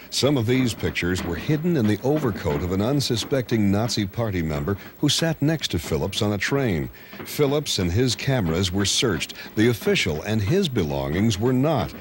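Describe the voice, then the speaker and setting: A male narrator reads a documentary script. His pitch sits low but moves in a lively way across each sentence. There is faint noise in the recording.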